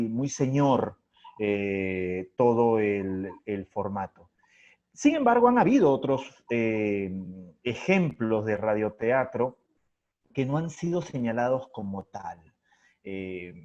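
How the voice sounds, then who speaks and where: A man with a Peruvian accent answering as an interview guest, articulate and discursive, thinking aloud with pauses in a reflective way. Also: medium pitch, darkish tone, dull audio. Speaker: interview guest, radio drama creator.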